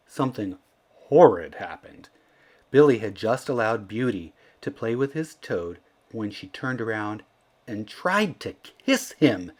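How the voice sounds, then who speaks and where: A man narrating a children's storybook aloud in an expressive, theatrical way, leaning into the emphatic words. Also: mid-range voice. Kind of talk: animated read-aloud narration.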